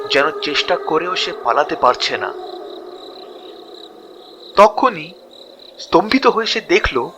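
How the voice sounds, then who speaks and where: A man narrating a scripted ghost story dramatically, with suspense and drawn-out pauses between short phrases. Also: on the high side.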